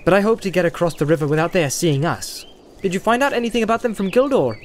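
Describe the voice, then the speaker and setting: A man voicing a thoughtful, burdened gentleman hobbit who speaks seriously and is easily irritated, in a storybook reading. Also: high-pitched, lively intonation, fast, a little hiss or hum, voice pushed up. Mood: guarded.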